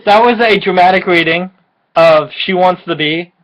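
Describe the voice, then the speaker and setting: A woman's voice: on the low side, normal speed, low-quality recording. A drunk woman doing a mock-dramatic amateur reading aloud, giggly and stumbling, with sweary casual asides. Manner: unpolished, giggly, stumbles and swears over the text. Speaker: amateur co-reader of a fan story.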